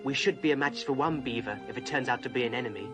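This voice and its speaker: A man's voice: medium pitch, fast, natural voice. A male actor playing an earnest, polite, brave teenage elder brother in a children's fantasy drama. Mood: earnest, questioning. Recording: music behind the voice.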